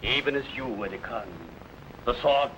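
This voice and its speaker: Guy doing a big boastful barbarian warlord, forceful bright voice, drags out his threats slow. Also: mid-range voice, slight background noise, lightly acted, poor audio. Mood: menacing boast. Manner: grandiose, menacing, slow dramatic pauses. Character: boastful ruthless adult male barbarian warlord. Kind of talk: 1950s TV serial acting.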